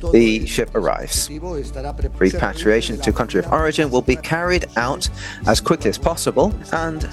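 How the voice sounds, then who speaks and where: A man's voice: mid-range voice, lively intonation, moderate pace, over music. A man giving a formal official statement in a news clip.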